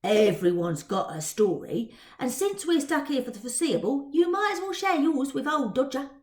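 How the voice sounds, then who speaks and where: A woman's voice: high-pitched, sing-song, normal speed, echoey room, voice pushed up. A woman voicing a cocky, street-smart Victorian boy pickpocket with a Cockney accent, bragging in ungrammatical slang in a story reading. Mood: friendly, cajoling.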